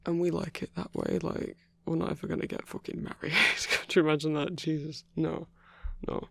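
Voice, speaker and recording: Male actor as gruff werewolf boyfriend, heavy vocal fry, husky and breathy, halting sweary murmur, intimate ASMR roleplay. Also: on the high side, flat delivery, dry recording. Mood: earnest, hesitant. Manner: halting, sweary, hushed rambling with sighs.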